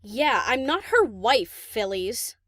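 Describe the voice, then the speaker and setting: A woman's voice: fairly high pitch, very animated, slow, fairly bright tone, dry recording, studio quality, full character voice. A woman acting a cheeky, teasing young DJ pony in a fan audio drama, flippant and casual.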